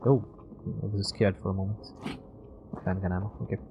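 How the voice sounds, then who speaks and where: A man's voice: low voice, little pitch movement, brisk, muffled recording, very noisy. A man giving casual live video game commentary as a streamer, thinking aloud, muttering to himself and swearing freely. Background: music.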